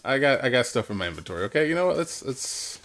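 A man's voice: mid-range voice, very animated, fast, fairly bright tone. A man hosting a let's play in casual banter, repeating his phrases and getting playfully defensive. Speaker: let's play host, playing the game. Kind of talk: casual gaming commentary, banter with co-host. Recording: music behind the voice. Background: video game music.

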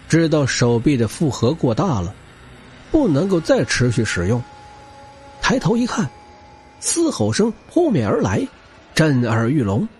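A man's voice: fairly high pitch, a little mellow, husky. A man narrating action fiction as a scripted audiobook, with a dramatic, theatrical and intense delivery.

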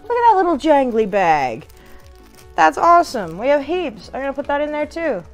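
A woman's voice: high-pitched, big pitch swings, moderate pace, faint music behind. A young woman chatting casually to camera during an unboxing, with a playful, bubbly manner and sing-song asides, recorded in a living room. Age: young adult. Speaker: product reviewer.

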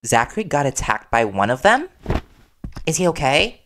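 Worried reporter boyfriend played by a man, audio roleplay; creaky, conversational, earnest and gently confrontational. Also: medium pitch, big pitch swings, normal speed, a little hiss or hum. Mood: concerned, disappointed.

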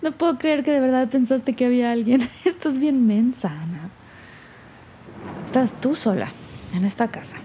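A woman's voice: fairly high pitch, lively intonation, moderate pace, mellow, a little hiss or hum, close to an everyday voice. An actress playing an anxious young woman alone in an old house, with a Mexican accent, talking nervously to herself in an audio drama. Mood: relieved, self-scolding. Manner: nervous self-talk, muttering, breaking into whispers. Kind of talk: naturalistic audio drama acting, spoken diary.

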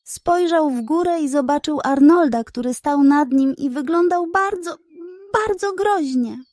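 A woman narrating a scripted children's animal tale in an expressive, deliberate storytelling manner. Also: high-pitched, no echo, clean studio sound.

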